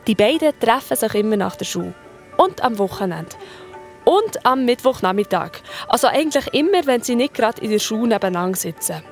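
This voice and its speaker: Woman narrating a children's story, soft music. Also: fairly high pitch, a little fast. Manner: colloquial, expressive.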